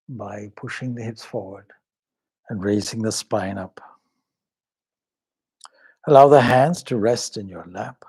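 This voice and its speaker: Slow, low-voiced man guiding meditation. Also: dark tone, slightly rough. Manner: measured, solemn, pausing between short phrases. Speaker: swami leading guided meditation. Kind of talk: guided meditation, devotional instruction.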